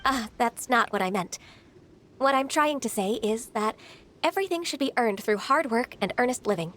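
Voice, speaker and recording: A woman playing a gentle, earnest young half-immortal in a video game, polite, measured and slightly hesitant. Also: a little high, lively intonation, slight background noise. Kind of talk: anime-style video game acting.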